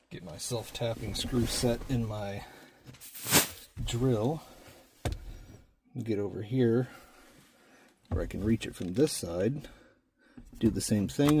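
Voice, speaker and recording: Male DIY vlogger, deep and relaxed, casual step-by-step delivery while working, with noise in the recording. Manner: plain-spoken, talking through each step while working. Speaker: vlogger doing a DIY truck install. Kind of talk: casual hands-on tutorial.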